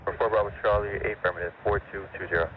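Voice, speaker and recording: A man's voice: on the low side, steady tone, rapid-fire. A man working as an air traffic controller, giving clipped, businesslike instructions in procedural phraseology over an aviation radio. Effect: aviation radio transmission. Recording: muffled, loud static-filled aircraft radio.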